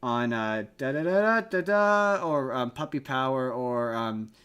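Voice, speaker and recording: A man's voice: medium pitch, lively intonation, unhurried, a bit bright, smooth. A man hosting a podcast, chatting casually about film and TV in a rambling, jokey way and often repeating himself. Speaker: podcast host.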